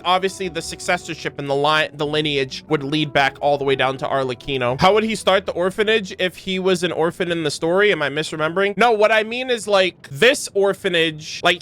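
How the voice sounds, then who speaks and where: Very high-voiced, bright man reacting casually. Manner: excitable, rambling, emphatic theorizing. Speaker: streamer reacting to game lore.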